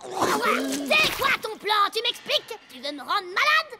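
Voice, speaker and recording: Tiny, squeaky, snippy cartoon girl. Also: big pitch swings, unhurried, pushed and bright, slight background noise, full character voice. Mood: indignant. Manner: indignant, snippy, demanding. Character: tiny, touchy, bossy girl shrimp, indignant cartoon classmate. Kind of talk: animated French cartoon dub.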